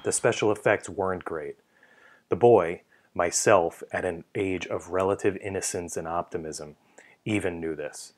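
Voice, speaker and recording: A deep male voice delivers scripted narration with measured, literary drama. There is a faint layer of noise and ambience behind him as he tells the story. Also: darkish tone. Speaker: narrator, author.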